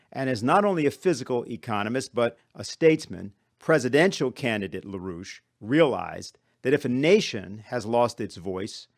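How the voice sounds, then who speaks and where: A man delivering a prepared formal speech as a conference speaker, with measured, rhetorical phrasing. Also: mid-range voice, no room sound, studio quality.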